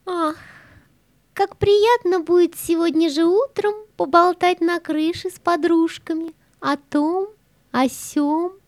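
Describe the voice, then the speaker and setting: A woman's voice: extremely high pitch, steady tone, takes it slowly, darkish tone, no echo. A woman voicing a sly, vain, two-faced talking cat with an affected, whiny manner in a children's audio play. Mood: smug.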